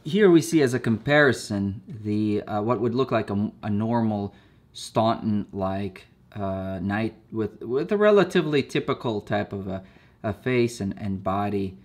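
A man casually reviewing a chess set at a table indoors, talking slowly with many fillers and repeated words. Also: on the low side, animated.

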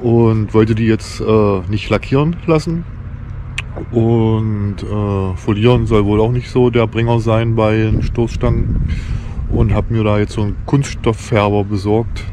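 A man's voice: on the low side, steady tone, unhurried, mellow. A man in his forties talking casually and colloquially to camera, like a DIY vlogger. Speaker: camper van DIY vlogger. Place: outdoors in a grassy garden. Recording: clear outdoor background noise.

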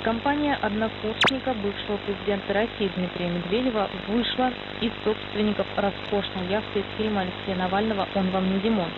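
A woman reads scripted news at a brisk pace. Her medium-pitched voice sounds thin and narrow over shortwave. Strong hiss and rumble cover the broadcast. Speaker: news presenter. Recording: phone-like shortwave radio, loud hiss.

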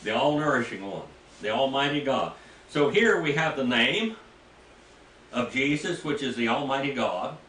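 A man's voice: medium pitch, animated, normal speed, fairly bright tone, slight background noise. An older man teaching a Bible class in a small church room, quizzing his listeners and reading scripture aloud. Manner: folksy, asks the class questions, reads scripture aloud. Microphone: room mic from a distance. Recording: echoey room, heard from a distance.

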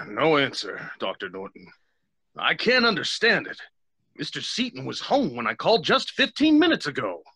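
Husky, blustering sheriff. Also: male voice, a little high, wildly swooping pitch, moderate pace, sharp tone, no echo, full character voice. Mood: exasperated. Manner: blustering, exasperated. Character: flustered, gruff small-town sheriff. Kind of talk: old-time radio acting. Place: home room, video call.

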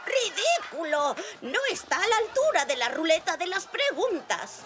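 A woman voicing an excitable, dramatic elderly zebra great-grandmother in a children's cartoon dub, exclaiming theatrically in Castilian Spanish. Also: very high-pitched, normal speed, loud music behind the voice. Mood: indignant, dramatic.

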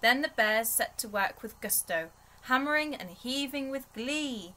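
Young woman reading aloud, lively and unhurried. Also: high-pitched. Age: young adult. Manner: measured, storytelling delivery for small children. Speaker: children's storytime reader. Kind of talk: expressive read-aloud of a rhyming picture book. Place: small bedroom, indoors.

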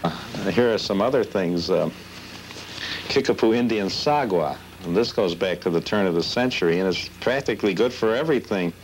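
A man with a fairly low voice talks casually, like a collector showing off his things. The recording is slightly noisy 1970s videotape. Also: moderate pace. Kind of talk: casual show-and-tell interview.

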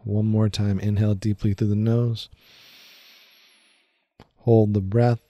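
A man leading a guided meditation in a soothing, unhurried way, speaking in short phrases with long pauses. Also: lowish voice, level tone, mellow, dry recording, muffled recording.

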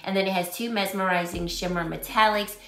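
Upbeat woman, slightly raspy, roomy sound. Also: medium pitch, steady tone, fairly quick, sharp tone. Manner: chatty and upbeat, detailed product talk. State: slightly raspy from losing her voice. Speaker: beauty vlogger. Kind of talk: casual makeup review. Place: small home room with shelves. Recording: roomy echo.

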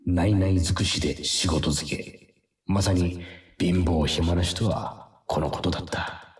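A man's voice: low-pitched, steady tone, a little slow, roomy sound, far from the mic. An actor playing a middle-aged factory boss narrating his hard early years in a reflective radio drama.

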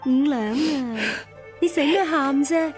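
A devoted young maid in a radio drama. Her voice is high, with a Hong Kong Cantonese accent, over clear background music. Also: slow, darkish tone. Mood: tearful, resolute.

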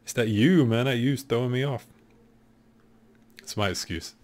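A man in his thirties with a deep voice, casually commenting while he plays a game show video game at his desk at home. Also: normal speed, darkish tone. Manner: laid-back, joking, thinking aloud. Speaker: streamer.

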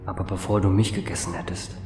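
Guy acting a starving lonely man losing it, low weary mumbled diary entries, music behind. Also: level tone, roomy sound, long reverb tail, close to an everyday voice. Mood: weary despair. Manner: weary, halting, murmured. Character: starving, isolated man unravelling in his diary. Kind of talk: audio drama diary monologue.

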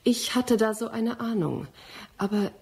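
Husky, earnest woman scientist. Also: a little high, expressive, unhurried, a little mellow, heavily acted. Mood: reproachful, firm. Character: determined, accusing young female scientist of the 19th century. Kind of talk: German radio drama acting.

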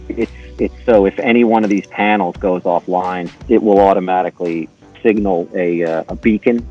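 A middle-aged man with a low, husky voice talks through technical details in a halting, step-by-step way. His tone is dark and mellow, and the sound is a little dull and lo-fi. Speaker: podcast guest, intercom engineer. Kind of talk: informal technical walkthrough.

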